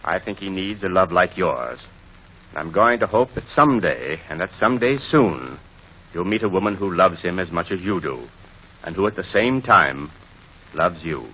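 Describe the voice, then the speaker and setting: A solemn kind judge, old radio show, deep rough forceful voice, muffled sound. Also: male voice, expressive, moderate pace, full character voice. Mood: solemn, kindly. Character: grave, kindly courtroom judge. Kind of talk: old-time radio drama acting. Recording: dull old radio recording.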